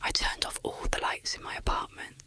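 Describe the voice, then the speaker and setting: An ASMR storyteller of unclear sex narrating a first-person horror story in a breathy, unvoiced whisper, measured and deliberate. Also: sharp tone.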